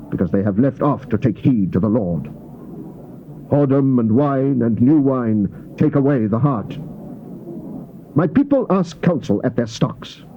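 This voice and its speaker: A man reads King James scripture in a solemn, theatrical, declamatory way, on an old cassette recording. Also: on the high side. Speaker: scripture reader. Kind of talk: dramatized King James Bible reading. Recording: dull, noisy old cassette tape recording.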